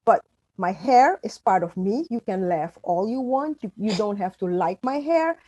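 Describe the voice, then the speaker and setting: A woman's voice: medium pitch, lively intonation, moderate pace, dark tone, no echo, low-quality recording. A middle-aged woman from Suriname, a native Dutch speaker, talking as an author in an interview, emphatic with frequent restarts. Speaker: author and guest. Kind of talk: conversational interview.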